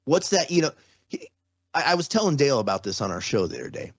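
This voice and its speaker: A man's voice: medium pitch, very animated, fast, slightly rough, no echo. Rambling man in a dry, dull recording. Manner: rambling, restarts often. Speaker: podcast guest. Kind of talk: casual conversation. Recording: slightly dull, dry sound.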